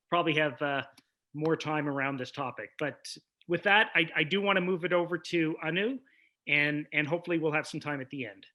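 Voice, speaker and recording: A man's voice: on the high side, pushed and bright. A man moderating a conference panel, apologetic and stumbling as he moves things along on a video call.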